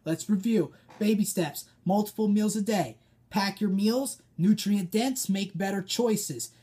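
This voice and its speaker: A young American man talks like a personal trainer on a casual vlog. His voice is mellow and husky, with a direct, emphatic way of instructing. He is seated indoors, a short way from the camera. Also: high voice, unhurried. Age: young adult. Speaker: personal trainer giving advice. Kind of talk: informal vlog coaching. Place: small dim room indoors, seated at a desk.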